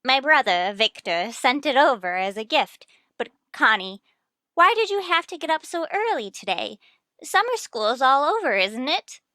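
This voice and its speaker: A woman's voice: high-pitched, sing-song, normal speed, bright, forceful tone, no echo, full character voice. An actress playing a chatty, dotty, kindly older landlady in a 1950s radio sitcom, with a fussy manner.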